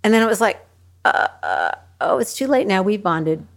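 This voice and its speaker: A woman who works as a hospital chaplain, telling candid stories in an interview with a joking, animated manner. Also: mid-range voice, normal speed, gravelly. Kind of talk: storytelling interview.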